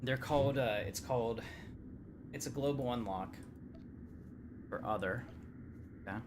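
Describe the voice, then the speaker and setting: A man's voice: mid-range voice, a little slow, noisy background. A man giving casual, rambling live commentary while streaming a video game. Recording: loud game ambience behind the voice.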